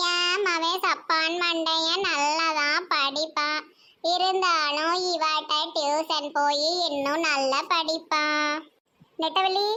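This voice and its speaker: A woman's voice: extremely high pitch, pushed and bright. A woman with a Tirunelveli Tamil accent voicing a fussing, teasing village neighbour in a comedy cartoon. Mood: chatty, teasing.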